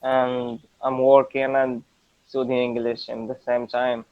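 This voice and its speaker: A man in his twenties with a Moroccan accent, practising English conversation casually in halting learner phrases, often repeating words, over a lo-fi internet call. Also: mid-range voice, little pitch movement. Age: 20s. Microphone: video call. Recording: dull lo-fi internet call audio.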